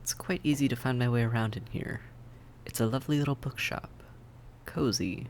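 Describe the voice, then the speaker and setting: A man playing a suave, polite vampire in an audio roleplay, courteous and measured, with a softly teasing menace. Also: medium pitch, slight background noise, lightly acted. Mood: polite, cheerful. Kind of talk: scripted audio roleplay acting, addressed to the listener.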